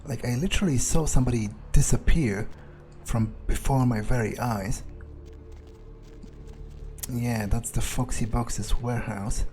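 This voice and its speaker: A man with a slightly husky voice, narrating a game playthrough in character as a wary vampire, muttering his thoughts hesitantly. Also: mid-range voice, a little slow, long pauses, a little mellow, soft background music. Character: vampire agent, profane and wary, thinking aloud. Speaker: let's player speaking in character.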